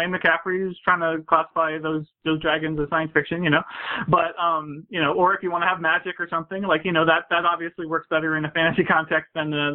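A man speaking as a guest in a casual discussion over a call, rambling haltingly and circling back on his phrases. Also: fairly high pitch. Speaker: guest panelist, anthology editor. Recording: tinny phone-line audio.

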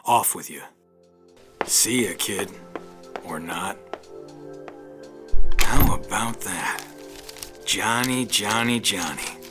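An actor playing a wry, laconic, world-weary ex-convict detective in a hardboiled audio drama. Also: male voice, on the low side, very slow, long pauses, music in the background. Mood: grimly resolved.

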